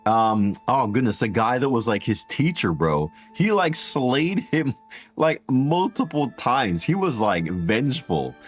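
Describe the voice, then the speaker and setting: A man with a deep voice streaming a game, chatting casually and rambling to viewers with slang. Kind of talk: casual gameplay livestream chat. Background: game music.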